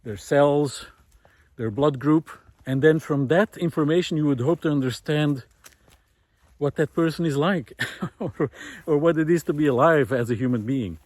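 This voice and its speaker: A middle-aged man thinking aloud in an informal, reflective monologue, often pausing mid-phrase as he searches for words. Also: a little high, a little mellow, dry recording. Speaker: art teacher giving a recorded monologue. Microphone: close recorder carried while walking.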